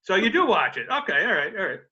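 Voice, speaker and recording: A man in his fifties co-hosting a podcast over a video call, telling animated stories and teasing his co-host. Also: sits high, fast talker, dull audio, crowd noise. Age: 50s.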